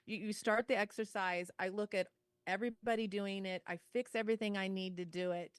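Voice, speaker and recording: Woman chatting on a podcast, kinda dramatic and self-mocking, average pitch, no room echo at all. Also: steady tone, fairly quick, studio quality. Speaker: podcast co-host and fitness instructor. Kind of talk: casual animated storytelling chat.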